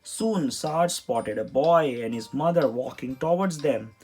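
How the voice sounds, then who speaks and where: A man's voice: mid-range voice, lively intonation, a little slow, darkish tone, breathy edge, rough recording. A man narrating a children's storybook as a read-aloud. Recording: music behind the voice.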